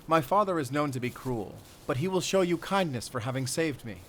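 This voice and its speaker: A man's voice: on the high side, lively intonation, brisk, a bit bright, a little hiss or hum. A man voicing a noble, gracious princess in an audio drama tale, with a regal, earnest, declamatory delivery. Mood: earnest, protective.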